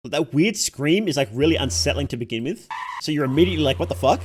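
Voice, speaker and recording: A man's voice: on the high side, animated, quick pace, slight background noise. A man hosting a livestream, reacting casually to videos in a rambling, informal, slangy way.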